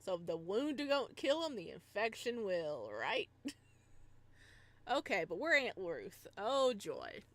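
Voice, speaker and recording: A woman with a medium-pitched voice hosts a casual game stream. She is bubbly and rambling in her delivery, moving between chat with viewers and reading story text aloud. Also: big pitch swings, slow pace, a bit bright. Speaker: livestreamer and host.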